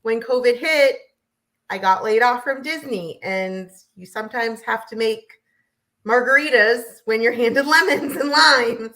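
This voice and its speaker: An American woman from New Hampshire telling her story as an interview guest in an animated, chatty way. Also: a little high, measured pace, low-quality recording. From southern New Hampshire, United States. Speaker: guest, realtor.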